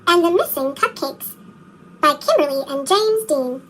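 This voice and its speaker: A storyteller with a pitched-up chipmunk effect, reading a children's story in an animated, expressive way for young listeners. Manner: animated, expressive, slow read-aloud delivery for young children. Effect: chipmunk-style pitched-up voice. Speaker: storybook reader. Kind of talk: scripted children's storybook narration.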